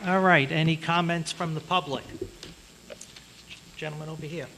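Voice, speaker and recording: A man's voice: fairly high pitch, fairly even intonation, normal speed, creaky, a crowd in the background, background noise. A man chairing a city council meeting, briefly calling on speakers from the public. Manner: brief, procedural. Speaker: presiding council member.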